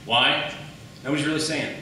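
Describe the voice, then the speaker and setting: A man's voice: fairly high pitch, fairly even intonation, normal speed, sharp tone. An American man giving an assertive campaign debate speech as an attorney, emphatic, posing questions and answering them himself. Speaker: defense attorney running for county attorney. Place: echoey hall at a public debate. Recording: very echoey room, distant mic, faint noise.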